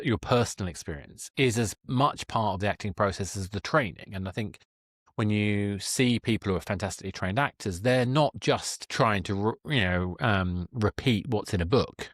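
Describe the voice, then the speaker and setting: Male podcast host. Lowish voice, reflective and tentative, often rephrasing himself, recorded dry. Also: no echo. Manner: hesitant, self-qualifying, reflective. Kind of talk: conversational interview.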